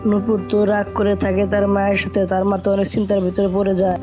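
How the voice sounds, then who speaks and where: A woman's voice: medium pitch, completely flat delivery, rapid-fire, music in the background. A woman narrating a scripted children's story as the storyteller.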